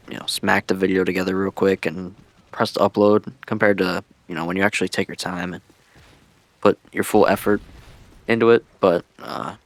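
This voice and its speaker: A man's voice: low-pitched, no room sound. A man giving casual, laid-back gaming vlog commentary in a rambling way, on an old-school headset-style mic. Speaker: video creator and streamer. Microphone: headset-style microphone, deliberately old-school sound.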